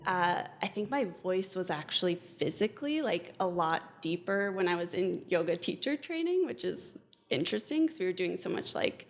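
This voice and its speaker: A woman's voice: lowish voice, lively intonation, normal speed. A woman life coach talking as a podcast guest, upbeat and reflective, with frequent fillers. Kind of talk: casual podcast conversation.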